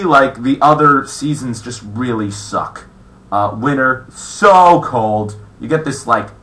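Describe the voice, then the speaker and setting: A man's voice: lowish voice, pushed and bright. A young man from California talking to camera in a rambling, casual way, full of fillers and restarts, recorded in his small apartment. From California, USA. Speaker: vlogger. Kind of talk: casual complaining monologue. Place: apartment in South Korea.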